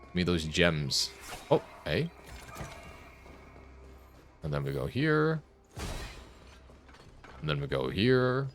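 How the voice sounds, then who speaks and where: A man's voice: low voice, sing-song, takes it slowly, long pauses, fairly bright tone. A man streaming a game with casual, joking commentary, sometimes crude, talking to himself and reading text aloud. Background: video game music and dialogue.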